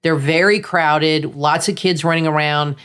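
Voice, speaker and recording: A woman's voice: low-pitched, fairly even intonation, measured pace, fairly bright tone, smooth. A middle-aged woman from Florida, co-hosting a casual chat and running through opinions in a chatty, opinionated way. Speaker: co-host.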